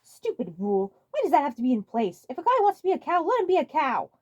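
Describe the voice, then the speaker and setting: A woman's voice: sits high, big pitch swings, fast, pitched above the performer's own voice. A woman voicing a goofy, cocky, exuberant skinny man in a cow costume, brash and exclamatory, for cartoon fan fiction. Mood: indignant. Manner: brash, exclamatory, prone to yelling.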